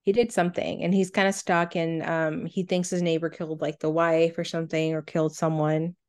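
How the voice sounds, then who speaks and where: Low-voiced woman chatting on a podcast. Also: flat delivery, brisk, darkish tone. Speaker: podcast co-host. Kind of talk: casual podcast chat.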